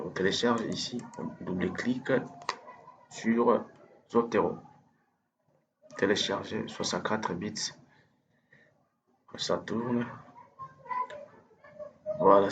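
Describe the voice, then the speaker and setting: Hesitant French-speaking man, low voice. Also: slight background noise. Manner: hesitant, many fillers and restarts. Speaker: instructor. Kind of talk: screen-recorded software tutorial.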